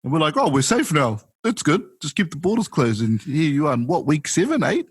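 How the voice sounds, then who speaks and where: Lively husky New Zealand man. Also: a little high, fairly quick, dry recording, studio quality. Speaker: podcast co-host. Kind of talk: casual chat on a call.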